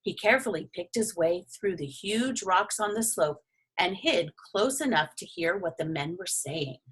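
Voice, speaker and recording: A woman reading a children's story as expressive narration, lingering on phrase endings for emphasis. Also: fairly low pitch, measured pace, husky, rough recording. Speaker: storybook reader.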